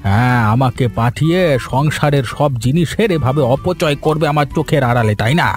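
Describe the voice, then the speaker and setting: A man's voice: mid-range voice, fairly quick, lightly acted. A man playing a stingy old grandfather in a Bengali cartoon, scolding and bossy as he grumbles at his household. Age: older. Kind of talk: Bengali moral-story cartoon dubbing. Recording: faint music behind the voice.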